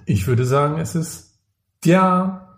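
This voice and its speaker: A man's voice: on the high side, slow. A man co-hosting a casual podcast, with a dry, teasing manner. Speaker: podcast co-host.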